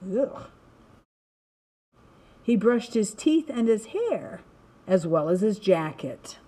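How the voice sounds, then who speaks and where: A woman narrating a children's story in an expressive, dramatic way, stretching words for emphasis. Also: medium pitch, slow pace. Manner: animated, dramatic delivery with emphatic word stretching. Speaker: storybook reader.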